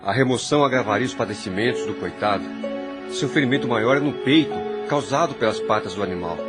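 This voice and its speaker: A man's voice: mid-range voice, quick pace, close to an everyday voice. A man acting a grave, blunt doctor at a deathbed in an audio drama. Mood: grave, resigned. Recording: loud music over the voice.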